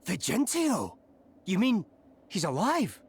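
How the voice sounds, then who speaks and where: Lively, concerned loyal friend. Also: male voice, high voice, takes it slowly, slightly rough, full character voice. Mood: shocked. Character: concerned, bewildered friend of the townsman. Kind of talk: video game drama acting.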